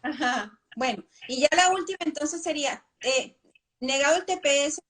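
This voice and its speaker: A woman's voice: high-pitched, normal speed, a bit bright. A woman hosting a live interview, asking long, winding questions with many fillers. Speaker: host.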